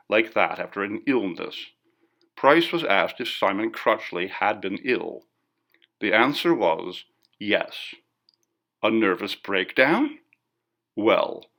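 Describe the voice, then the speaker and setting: A man's voice: on the low side, vocal fry, fairly bright tone. A man narrating a classic ghost story as a scripted audiobook reading.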